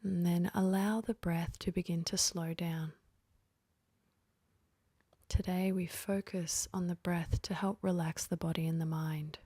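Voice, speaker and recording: A woman leading a guided sleep meditation in a gentle, whispery, half-voiced delivery, leaving long pauses between phrases. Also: lowish voice, fairly even intonation, slightly rough, no echo.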